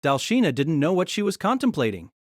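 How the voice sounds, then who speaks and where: A woman narrator reads a light novel in a scripted storytelling style. Her pitch moves in a lively, animated way, and the recording is close and dry. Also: brisk, dry recording. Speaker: narrator and reader.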